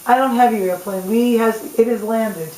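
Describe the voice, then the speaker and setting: A woman's voice: fairly high pitch, moderate pace, darkish tone. Woman chatting on a homemade show, scratchy voice, bit of hiss, echoey room. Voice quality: scratchy voice from singing. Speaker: co-host of an amateur talk show. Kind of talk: casual opinionated banter.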